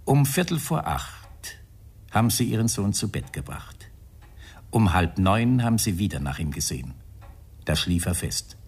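A sardonic, grumbling police inspector in a radio crime drama. His low, husky voice moves widely in pitch. He speaks with measured insistence, like someone weary of the job and determined to get answers. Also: big pitch swings, full character voice. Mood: probing, insistent.

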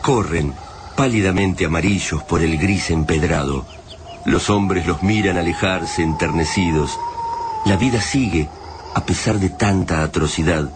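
An actor playing the grave narrator of an alien-invasion radio drama, solemn and literary, with an Argentine accent. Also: male voice, deep voice, a little slow, noisy background. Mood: tense.

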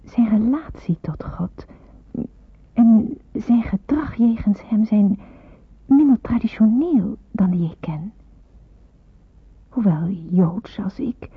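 A muffled, roomy old radio play recording carries an earnest young woman in hiding. Her voice is very breathy, almost whispered. She reflects slowly and devoutly, as if writing in a diary. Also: mid-range voice, full character voice. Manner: hushed, slow, breathy reflection. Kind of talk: radio drama monologue, diary-like narration.

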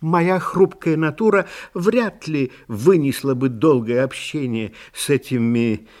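A man's voice: mid-range voice, takes it slowly, a little mellow, natural voice. A man playing a vain, fragile, sentimental Christmas tree bauble who tells his story in a genteel, self-pitying, theatrical way in a children's fairy-tale audio play. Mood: plaintive.

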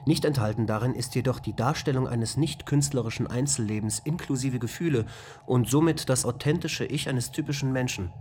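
An actor playing a computer intelligence that reads out data and keyword lists in an even, matter-of-fact way. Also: male voice, medium pitch, a little fast, a little hiss or hum, natural voice. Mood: reporting. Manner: flat, listing, data-reading.

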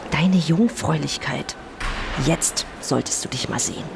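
A woman's voice: low-pitched, a little slow, vocal fry, very noisy, heavily acted. A woman playing a young hospital care worker in a radio drama, dry, brusque and sarcastic. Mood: dismissive. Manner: deadpan, sardonic, brusque. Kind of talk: naturalistic radio drama dialogue.

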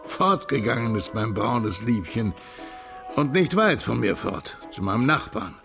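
Gravelly, tipsy old gentleman. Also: medium pitch, expressive, a little slow, music in the background. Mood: nostalgic, boastful. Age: older. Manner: drunken, nostalgic, gentlemanly. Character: older tipsy sentimental Russian bon vivant, courteous and talkative. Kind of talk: radio drama dialogue.

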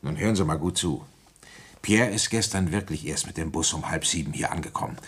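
A village police commissioner in his fifties with a very low, husky voice. He is worn down by a cold yet ambitious, coaxing people like a blunt father in a radio play. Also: fairly quick, a bit bright, heavily acted. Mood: coaxing, firm. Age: middle-aged. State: feverish with a cold. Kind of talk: German radio drama acting and first-person narration.

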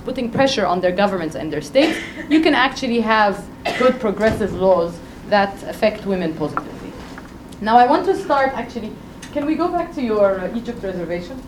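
A woman with a loud voice speaking on a conference panel, making an emphatic, persuasive case and addressing the audience directly. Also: a little high, background noise. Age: adult with a doctorate.

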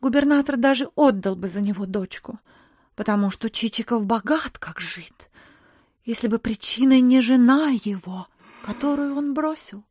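A woman's voice: fairly high pitch, expressive, moderate pace, darkish tone, dry recording, clean studio sound. An actress playing a gushing, romantic provincial society lady who gossips sentimentally in an audio drama. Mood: eager gossiping. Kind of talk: classic literary audio drama acting.

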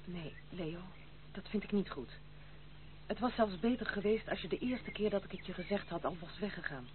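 A woman's voice: mid-range voice, normal speed, close to an everyday voice. An actress playing a proud, wilful young woman in a period radio drama, firm and guarded in manner. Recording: muffled, hissy old radio play.

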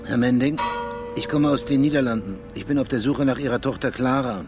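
An actor playing a persistent, businesslike police detective in a German radio crime drama, putting clipped, probing questions. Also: male voice, mid-range voice, fairly quick, music in the background, lightly acted. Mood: insistent.

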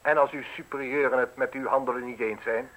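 An actor playing a defiant, sceptical newspaperman in a radio play, with a husky voice and a curt, probing delivery. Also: medium pitch, steady tone, brisk, a bit bright, dull audio, lightly acted. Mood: challenging, accusing. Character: defiant, sceptical male newspaperman facing arrest, sharp-witted. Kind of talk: Dutch radio play acting.

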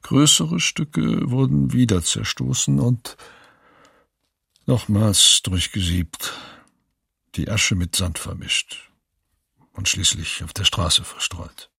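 A man's voice: lowish voice, takes it slowly, creaky, pauses often, fairly bright tone, breathy edge, dry recording, studio quality, heavily acted. An actor playing a sombre male witness who recounts atrocities in a factual, restrained way in a documentary radio play. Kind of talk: sober testimony, read dramatically.

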